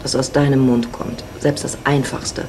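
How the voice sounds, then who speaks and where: A woman's voice: a bass voice, flat delivery, normal speed, noisy background. An actress playing a worldly, flirtatious married woman in her forties, sardonic and self-assured, in a radio drama. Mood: knowing, bittersweet. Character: worldly, teasing 45-year-old married Mexican woman.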